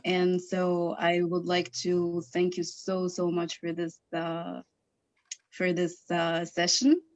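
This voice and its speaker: A woman's voice: on the low side, monotone, slow. Chatty woman on dull call audio. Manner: chatty, hesitant, many fillers. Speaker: audience member. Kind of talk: casual chat on a video call. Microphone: video call.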